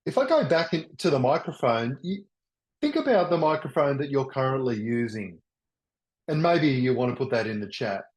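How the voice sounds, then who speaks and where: An older man presenting a webinar in a loud, enthusiastic voice, often restarting his sentences. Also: medium pitch, very animated. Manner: halting, with many restarts. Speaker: workshop presenter. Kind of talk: teaching a webinar. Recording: roomy echo.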